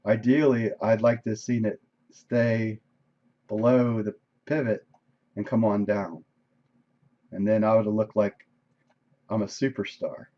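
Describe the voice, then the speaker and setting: A man reviewing his day's trades on a live stream, casual and thinking aloud, with a voice that is going hoarse. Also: on the low side, steady tone, a little slow, pauses often, muffled recording. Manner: conversational, thinking aloud, frequent "right?". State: losing his voice, coughing. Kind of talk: casual screen-share trade recap. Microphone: desk microphone.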